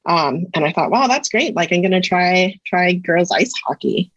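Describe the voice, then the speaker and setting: A woman's voice: fairly low pitch, lively intonation, moderate pace, no echo. A woman in her thirties from Canada, a psychology researcher talking through a casual interview, thoughtful and hesitant with many fillers. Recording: dull lo-fi sound, dry.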